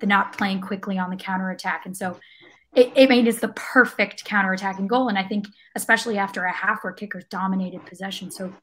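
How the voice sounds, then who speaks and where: A woman's voice: mid-range voice, steady tone, quick pace, a bit bright. A woman giving casual match analysis as a panelist on a soccer podcast.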